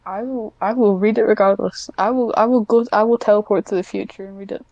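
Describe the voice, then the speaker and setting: A woman's voice: mid-range voice, steady tone, fast, darkish tone, no room sound, highs cut off. A Scottish woman hosting a casual podcast interview and chatting with her guest.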